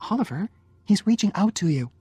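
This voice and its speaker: A man's voice: high voice, big pitch swings, brisk, darkish tone, slightly rough, dry recording, voice pushed up, exaggerated voice. A man voicing a young wife in an audiobook story, hurt and exasperated, pleading with emphatic emotion. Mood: exasperated urging.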